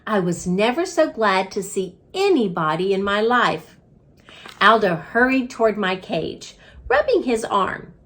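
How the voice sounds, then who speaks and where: Female storybook reader, emphatic and dramatic, unhurried pace, very animated intonation. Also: medium pitch. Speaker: reading coach reading aloud. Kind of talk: expressive children's storybook read-aloud.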